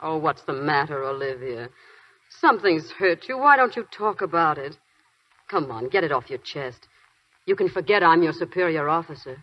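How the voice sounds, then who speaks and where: An actress playing a warm but firm senior army nurse in a 1940s radio drama, speaking in a coaxing, sometimes scolding way. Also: female voice, low-pitched, animated, normal speed. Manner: teasing, then firm and scolding. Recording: muffled 1940s radio broadcast with faint music.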